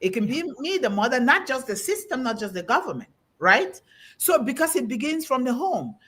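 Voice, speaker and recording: A woman's voice: high-pitched, big pitch swings, a little fast, a bit bright, breathy edge. A middle-aged woman hosting a panel talk, delivering an emphatic, preaching-style message and quoting scripture with rising emphasis. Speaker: host.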